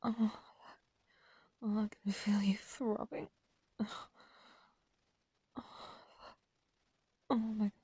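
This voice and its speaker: A woman's voice: mid-range voice, level tone, takes it slowly, long pauses, mellow, husky, no echo. A woman in an intimate erotic audio roleplay, breathless and aroused, whispering between moans.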